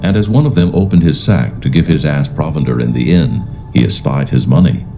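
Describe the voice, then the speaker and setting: A man narrating dramatised scripture in a solemn, measured, formal manner. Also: extremely low pitch. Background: faint music.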